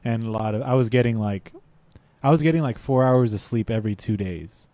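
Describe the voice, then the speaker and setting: A man chatting on a podcast as a band guest, telling stories in a lively way and acting out what people said, at a desk mic in a small studio. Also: mid-range voice, a little fast, dry recording. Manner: animated storytelling, acts out quotes. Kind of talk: casual podcast chat. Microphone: desk mic with headphones.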